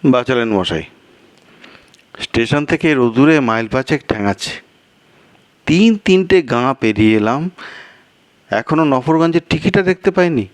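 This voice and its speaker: Anxious male traveller played by a male storyteller: raised, swooping pitch, polite, fretful, plaintive and hesitant. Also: expressive, moderate pace, long pauses, no room sound, close to an everyday voice. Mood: weary. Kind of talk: acted storytelling dialogue.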